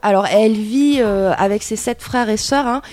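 A woman presenting on a radio show, telling about a rapper in halting speech full of fillers. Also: mid-range voice, a little mellow, soft background music. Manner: halting, many fillers and hein.

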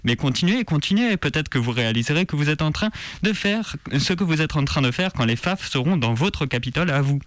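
A man's voice: fairly high pitch, lively intonation, brisk, hardly any pauses, a bit bright, no room sound. A man reads scripted news commentary on community radio in a sarcastic, militant manner. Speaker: radio show presenter.

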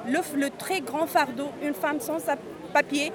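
A woman's voice: high voice, fairly even intonation, unhurried. A Tunisian woman in her forties, an interviewee at a street march, speaking earnestly and often repeating and restarting words. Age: 40s. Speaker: undocumented migrant interviewee. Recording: crowd noise outdoors. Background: crowd.

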